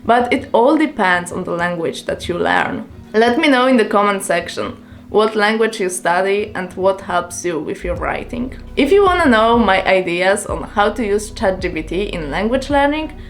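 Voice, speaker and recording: A woman whose first language is Polish, calmly explaining language-learning tips in English in a scripted vlog. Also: mid-range voice, moderate pace, faint music behind. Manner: calm, earnest explaining with numbered tips.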